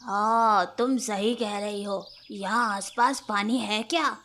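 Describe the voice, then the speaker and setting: Woman voicing a greedy, forceful, slow-talking male leopard. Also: mid-range voice, fairly even intonation, slight background noise. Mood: pleased, scheming. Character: greedy, gloating, dim-witted male leopard hunting a turtle. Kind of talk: animated children's fable character voice.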